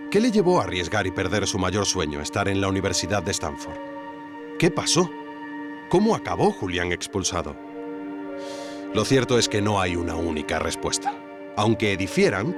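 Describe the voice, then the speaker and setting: A man playing an earnest documentary podcast host, narrating in a dramatic, rhetorical scripted style. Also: low-pitched.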